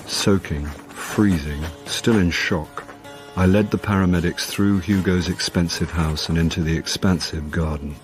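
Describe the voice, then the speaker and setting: An actor playing a hapless middle-aged British man in a radio drama, wry and self-deprecating, with a halting, flustered manner. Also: deep voice, fairly even intonation, soft background music. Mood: wry narrating. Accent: British English. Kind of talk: naturalistic radio drama acting with confiding narration.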